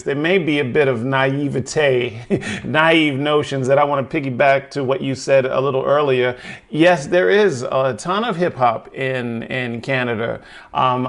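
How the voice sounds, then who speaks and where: A man's voice: mid-range voice, fairly bright tone, echoey room. A man from New York City hosting a casual interview, enthusiastic and full of slang. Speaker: podcast host.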